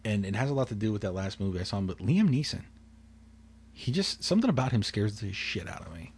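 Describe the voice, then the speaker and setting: A man with a soft, calm and somewhat husky voice, pitched a bit low, talks casually on a podcast. He rambles, restarts his sentences and swears freely, never raising his voice much. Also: fast talker. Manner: quiet, calm delivery; rambling with frequent restarts and profanity. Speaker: podcast co-host. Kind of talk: casual chat.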